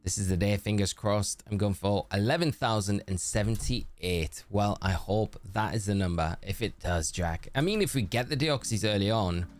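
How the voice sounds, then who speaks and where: A man's voice: on the low side, normal speed, hardly any pauses, soft background music. A man chatting casually on a live stream, greeting viewers by name and calling them mate. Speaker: streamer reading live chat. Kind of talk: casual live-stream chat while shiny hunting.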